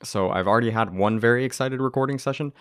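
A young man hosting a casual podcast, talking excitedly and rambling, with a tired voice. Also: mid-range voice, fast talker, clear, resonant tone, dry recording, clean studio sound. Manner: excitable, rambling, swears, laughs often. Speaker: podcast host. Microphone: close to the mic.

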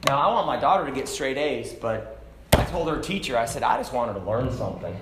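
A man's voice: mid-range voice, animated, fairly quick, background noise. Man preaching in an echoey church. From Hong Kong. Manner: conversational preaching, rising to shouts. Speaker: pastor. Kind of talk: sermon. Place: church sanctuary.

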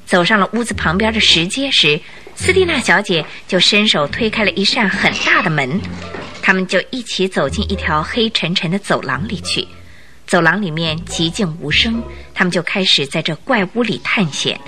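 Animated, bright-voiced woman narrating suspense. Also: medium pitch, moderate pace, faint music behind. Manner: suspenseful, expressive storytelling. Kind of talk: dramatic scripted narration of a detective radio drama.

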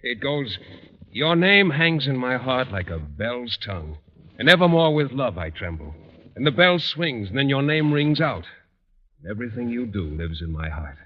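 A man's voice: mid-range voice, big pitch swings, moderate pace. A man playing an earnest, bashful, mildly formal biology teacher in an old radio sitcom. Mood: earnest recital. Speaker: actor in radio comedy. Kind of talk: 1940s-50s radio sitcom acting. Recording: dull old radio recording.

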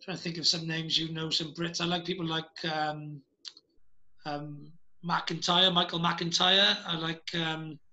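Bright high-voiced Welsh man. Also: flat delivery, unhurried, muffled recording. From Wales. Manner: rambling, hesitant, many fillers and restarts. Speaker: podcast host. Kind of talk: casual video-call chat.

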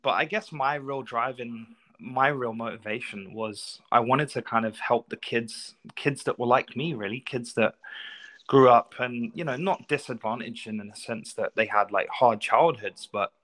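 A man's voice: mid-range voice, normal speed, fairly bright tone, rough recording. A man with a North London English accent, a soccer coach, speaking as a guest in a casual, polite and reflective way, with frequent asides. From North London, England. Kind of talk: casual interview storytelling.

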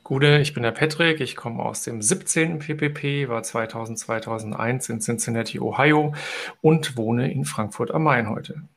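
A middle-aged man hosting a podcast with casual questions that he often rephrases haltingly as he goes. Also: medium pitch, vocal fry, a bit bright. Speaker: podcast host and interviewer. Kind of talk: casual chat interview.

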